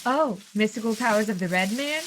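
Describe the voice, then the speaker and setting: Woman acting spirited bossy wife, high swooping pitch, teasing, brisk, audio drama, light sizzling noise behind. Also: animated, close to an everyday voice, low-quality recording. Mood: wry, teasing.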